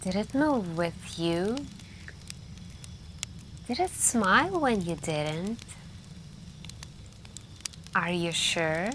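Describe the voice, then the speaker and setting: A woman speaks in a fairly low, hushed voice at an extremely slow pace, leaving long pauses and sometimes slipping toward a whisper. It is intimate ASMR roleplay storytelling, with clear crackling campfire noise behind her. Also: lively intonation. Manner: hushed, drawn-out, pausing, intimate, with stretches of whispering. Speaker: roleplay storyteller playing a girlfriend on a camping trip. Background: campfire crackling and night ambience.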